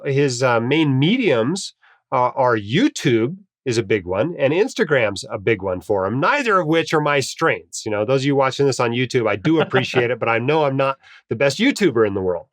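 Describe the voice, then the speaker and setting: A man's voice: medium pitch, a bit bright, no echo, studio quality. Man with a cold, slightly nasal. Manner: upbeat, chatty, frequent "uh" fillers. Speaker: podcast host. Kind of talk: casual podcast monologue and interview intro.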